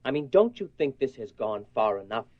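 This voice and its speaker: A man's voice: mid-range voice, big pitch swings, brisk. A man playing an exasperated, short-tempered husband in a radio horror play, blunt and argumentative. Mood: exasperated, angry. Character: exasperated, short-tempered husband, worried about his obsessed wife. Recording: dull lo-fi radio recording.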